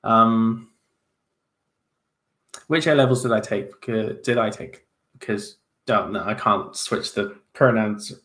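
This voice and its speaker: A man's voice: lowish voice, takes it slowly, long pauses, a bit bright. A young man chatting casually as he answers viewer questions on a livestream, self-deprecating, with many fillers and restarts. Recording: roomy echo.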